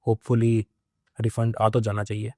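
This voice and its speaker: A man's voice: fairly low pitch, completely flat delivery, takes it slowly, darkish tone, no room sound, studio quality. A man, talking casually as a vlogger. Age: 20s. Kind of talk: casual vlog talk mixing Hindi and English.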